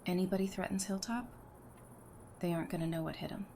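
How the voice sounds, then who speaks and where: A woman's voice: on the low side, fairly even intonation, quick pace, gravelly, dark tone. Woman acting a caring, wry young mother, noisy recording. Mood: reassuring. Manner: casual, wry, profane banter. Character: Talisa, warm, blunt, caring wife and young mother. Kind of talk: fan audio drama acting. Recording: noticeable background noise.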